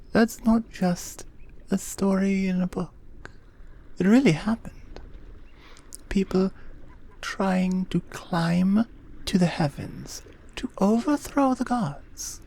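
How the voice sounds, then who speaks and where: A woman playing a regal, patient Amazonian queen, proud and wary of humans, in an intimate ASMR roleplay with measured, breathy half-whispers. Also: fairly low pitch, dark tone, full character voice. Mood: grave, knowing.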